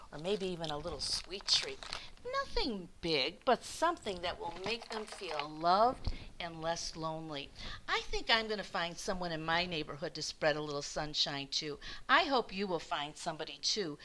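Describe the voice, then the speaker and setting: An older woman with a bright, forward voice works as a children's storyteller. She speaks expressively and addresses young listeners kindly. A faint hiss sits behind her on the recording. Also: on the low side, poor audio. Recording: hissy background noise.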